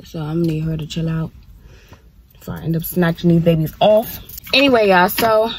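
A woman's voice: on the low side, brisk, a little hiss or hum. A young woman vlogging from her car, chatting casually and swearing freely as she talks to her viewers. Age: young adult. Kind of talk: casual chat while driving. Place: inside a car. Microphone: phone camera on the dashboard. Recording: music playing under the voice. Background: music playing in the car.

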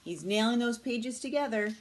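High-pitched, animated woman reading stories. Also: normal speed. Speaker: storybook reader. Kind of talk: children's read-aloud, casual. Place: indoor living room at home.

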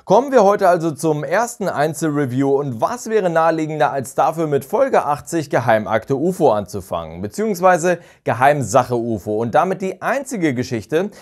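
A man, narrating a scripted review in measured, carefully worded storytelling as a channel host. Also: on the high side, big pitch swings, darkish tone. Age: 30s. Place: small furnished room, indoors. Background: background music.

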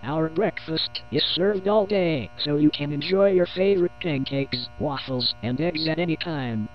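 A fairly high-pitched man reads a scripted local TV commercial. The audio is dull and lo-fi, with faint music behind the voice. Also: unhurried. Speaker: advert announcer. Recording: dull lo-fi old TV advert.

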